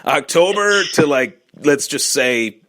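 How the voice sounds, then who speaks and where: A man hosts a casual sports podcast interview with a bright, clear tone. He talks at length, often circling back and restarting his words. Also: on the high side, expressive, slow pace, low-quality recording. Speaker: podcast host.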